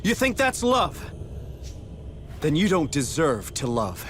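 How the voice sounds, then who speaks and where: An actor playing a principled young hero in a video game, earnest and confrontational. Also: male voice, fairly high pitch, huge pitch swings, slow, fairly bright tone, a little hiss or hum, heavily acted. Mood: indignant, accusing. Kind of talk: dramatic video game voice acting.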